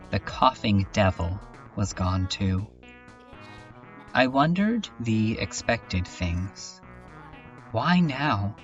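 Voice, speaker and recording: A haunted survivor voiced by a man tells a horror tale in a deep, unhurried voice. The delivery is introspective and halting, weary with dread. It sounds set back from the microphone, with music behind it. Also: a bit bright, distant mic, dull audio. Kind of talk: dramatic first-person narration in a fan dub.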